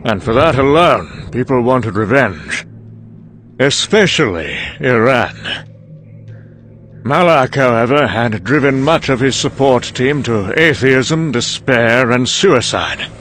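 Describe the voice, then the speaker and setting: A man's voice: mid-range voice, animated, slow, a bit bright, a little hiss or hum. A man playing a cynical scientist, delivering a dry, weary, sardonic dramatic monologue for a motion comic. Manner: dry, sardonic, weary musing with trailing asides. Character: cynical, sardonic British male scientist briefing an American colleague.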